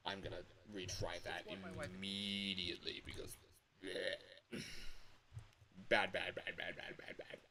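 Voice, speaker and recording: A man's voice: low-pitched, level tone, slow pace, vocal fry, bright, forceful tone. A tired man chatting casually on a livestream, grumbling and swearing at himself as he records voice lines. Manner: grumbling, self-critical, sweary. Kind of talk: casual livestream chat, self-commentary.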